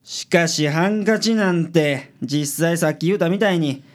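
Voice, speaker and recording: A man playing a gruff, self-important detective with a Kansai accent in a radio drama. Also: fairly high pitch, measured pace, close to an everyday voice. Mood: grumpy. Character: gruff, self-important middle-aged male private detective.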